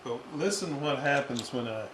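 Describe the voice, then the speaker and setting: A man giving an informal hands-on repair demonstration, thinking aloud in a halting way with long pauses. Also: medium pitch, animated. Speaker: hobbyist radio restorer presenting his repair. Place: indoors at a repair workbench. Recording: roomy, noisy amateur workshop recording.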